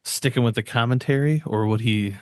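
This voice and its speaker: A male co-host with a medium-pitched voice trades casual banter. His manner is joking and teasing, and the sound is dry and close. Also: a little fast, no room sound.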